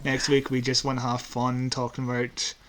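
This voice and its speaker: A man's voice: medium pitch, completely flat delivery, moderate pace, a bit bright. A man co-hosting a casual, unscripted podcast, explaining things in a light-hearted, self-deprecating way. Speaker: podcast co-host.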